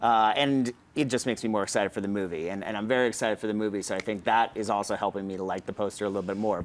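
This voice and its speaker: A man's voice: on the low side, slight background noise. A man chatting casually as a panelist in a group discussion. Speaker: movie talk show panelist.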